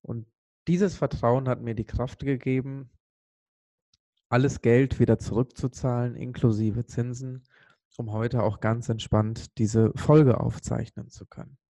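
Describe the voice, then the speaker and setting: A man from Sri Lanka speaking earnestly and with emphasis on a motivational podcast, pausing for weight as he tells a personal story. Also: mid-range voice, flat delivery, dark tone, dry recording.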